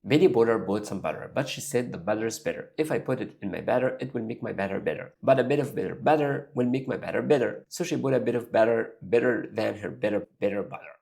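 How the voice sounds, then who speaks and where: A man's voice: fairly low pitch, lively intonation, fast, creaky, hardly any pauses, a little mellow, a little hiss or hum. A young man whose first language is Arabic, giving an upbeat step-by-step English lesson straight to the viewer. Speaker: English learning YouTuber. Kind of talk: direct-to-camera lesson.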